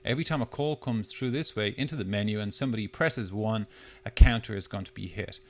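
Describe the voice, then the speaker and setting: A man presenting a software demo, talking through it step by step in a loosely unscripted, explanatory way. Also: fairly low pitch, fast talker. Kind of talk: step-by-step screencast tutorial narration.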